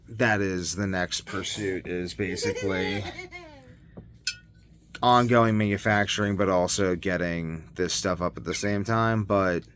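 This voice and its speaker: A man talking casually as a video blogger in a rambling monologue, halting and often trailing off mid-thought. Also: on the low side. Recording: noticeable background noise.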